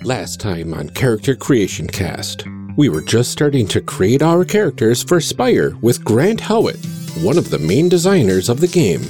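A man's voice: mid-range voice, huge pitch swings, moderate pace, over music. A man co-hosting a podcast, making casual announcements and banter in a friendly, earnest way. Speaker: podcast co-host.

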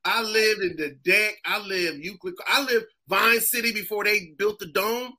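A man from Massachusetts with an East Coast accent, a guest telling animated stories in casual chat. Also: high-pitched, normal speed. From Springfield, Massachusetts. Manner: animated storyteller, mimics other people's voices.

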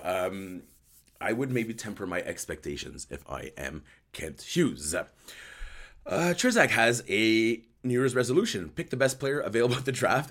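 A man with a hoarse voice hosting a casual live sports chat show, joking and thinking aloud as he answers viewers. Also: mid-range voice, a bit bright. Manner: joking, conversational, thinking aloud with repeated words. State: hoarse voice after a night outdoors. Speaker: host of a hockey post-game recap show.

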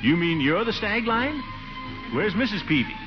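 A man's voice: high voice, sing-song, moderate pace. A man playing a pompous, blustering middle-aged man with a chuckle in a 1940s radio comedy. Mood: curious. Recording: dull old radio recording with music.

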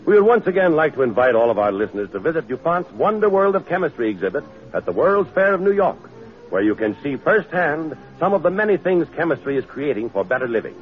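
A man's voice: on the high side, sing-song, fast talker. A man announcing a scripted 1940s radio show in a formal, polished manner. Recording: muffled old radio broadcast, faint music.

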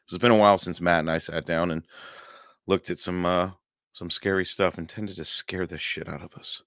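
A hoarse man hosting a paranormal podcast livestream, chatting casually and rambling with many fillers and chuckles. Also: low voice, steady tone, normal speed, a bit bright, no room sound, studio quality. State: hoarse, losing his voice.

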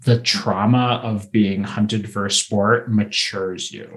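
A man's voice: fairly low pitch, fairly even intonation, takes it slowly. A man on a podcast panel, speaking casually and analytically in a thoughtful, articulate manner.

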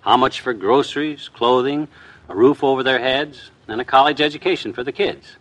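Man playing easygoing folksy husband, medium pitch, vintage radio ad delivery. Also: normal speed, fairly bright tone, lightly acted. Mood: folksy, sincere. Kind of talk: old-time radio sponsor skit.

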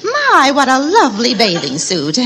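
A woman's voice: sits high, wildly swooping pitch, normal speed, over a noisy crowd. A woman playing a fussy, affectionate middle-aged wife in an old radio comedy, with a hesitant, gushing manner. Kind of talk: 1950s radio comedy acting. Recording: noisy old radio broadcast, loud studio audience.

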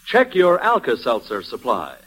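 A man's voice: medium pitch, wildly swooping pitch, moderate pace, fairly bright tone, husky. A man announcing a commercial in old-time radio style, addressing the listeners directly.

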